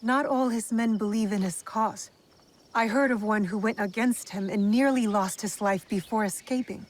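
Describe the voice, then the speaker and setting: A woman's voice: medium pitch, normal speed, close to an everyday voice. An actress playing a determined young female warrior in a video game, blunt but compassionate, with a direct, probing way of speaking. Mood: businesslike. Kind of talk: dramatic video game cutscene acting.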